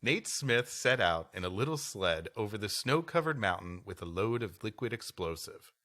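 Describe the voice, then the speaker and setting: A man's voice: on the low side, expressive, moderate pace, sharp tone. A man co-hosting a comedy podcast, reading history aloud and bantering around it. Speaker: podcast co-host.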